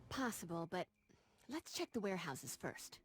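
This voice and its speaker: A woman's voice: lowish voice, big pitch swings, normal speed, a little hiss or hum. A young American woman playing a brave, wisecracking vampire slayer in a video game, flippant and sarcastic. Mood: dry teasing. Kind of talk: video game cutscene acting. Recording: loud music under the voice.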